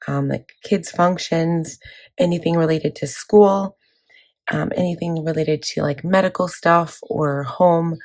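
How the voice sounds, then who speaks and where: A woman in her thirties, hoarse from laryngitis, chatting casually about her planner with many fillers. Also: low voice, a little mellow. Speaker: planning vlogger. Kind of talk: casual chat walking through a planner.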